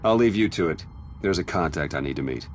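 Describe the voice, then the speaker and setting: Video game role: casual, wry male spy, low register, relaxed attitude, clear music bed. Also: fairly quick.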